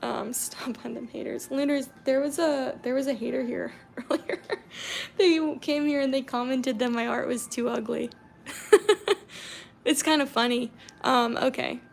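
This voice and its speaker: A young American woman from Georgia chatting casually with viewers on a painting livestream, reading their comments aloud and murmuring softly while she paints. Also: sits high, steady tone, a little slow, vocal fry, soft background music. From Georgia, USA.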